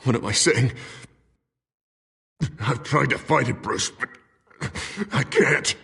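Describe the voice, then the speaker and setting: A man's voice: low-pitched, very animated, unhurried. A man playing an idealistic, vulnerable politician in a video game, sincere and hesitant. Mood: anguished. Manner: hesitant, heartfelt. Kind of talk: dramatic video game acting. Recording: distant, echoey hall, faint music.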